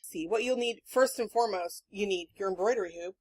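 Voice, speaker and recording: A woman's voice: mid-range voice, fairly quick, slightly rough. Casual, sweary woman podcaster. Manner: animated, sweary, rambling storytelling. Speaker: podcast host. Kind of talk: casual chat.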